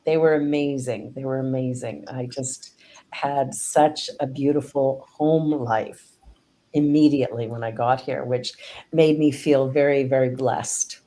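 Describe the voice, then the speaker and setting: Woman, middle-aged, very low voice, effusive host, laughs often. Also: fairly even intonation, measured pace, dark tone. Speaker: host and spiritual director. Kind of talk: casual interview chat.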